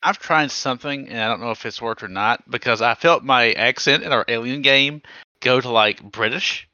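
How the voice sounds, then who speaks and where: A man's voice: medium pitch, moderate pace, pushed and bright, no room sound, dull audio. A man co-hosting a podcast, rambling and joking as he gives advice in casual chat. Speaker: podcast co-host.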